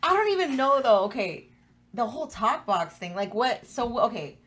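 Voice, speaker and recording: Lively slangy American woman podcast host. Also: on the high side, moderate pace. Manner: slangy, informal, curious. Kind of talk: casual chat.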